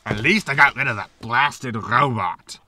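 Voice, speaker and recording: A man's voice: medium pitch, measured pace, far from the mic, music in the background, lightly acted. An actor playing a smarmy, irritable male used-spaceship salesman in a sci-fi comedy audio drama. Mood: irritated.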